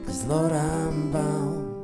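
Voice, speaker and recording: A woman's voice: low voice, very slow. A woman singing a bluesy song to guitar accompaniment. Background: acoustic guitar.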